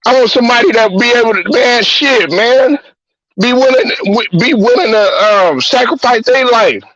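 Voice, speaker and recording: A man's voice: very high-pitched, normal speed, muffled recording, a crowd in the background. A man hosting a talk show, ranting bluntly and profanely with heated repetition. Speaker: host. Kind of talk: profane sports-talk rant.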